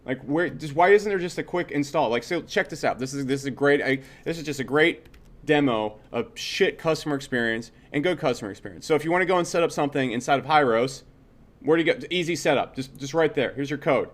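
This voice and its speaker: Blunt man giving a casual software walkthrough. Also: fairly high pitch, animated, quick pace. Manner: blunt, opinionated, swears freely; conversational, repeats himself, tags points with "okay" and "right". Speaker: software instructor. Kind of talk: casual screen-share tutorial.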